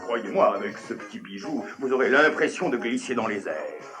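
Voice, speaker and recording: An actor voicing a smooth-talking, condescending car salesman in a French cartoon dub. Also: animated, moderate pace, close to an everyday voice. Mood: smarmy. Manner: sales-pitch patter, condescending. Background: cartoon music score.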